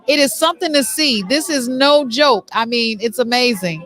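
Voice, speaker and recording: A woman with a high voice works as a show host, interviewing people on location. She speaks effusively and encourages whoever she talks to. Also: moderate pace, fairly bright tone.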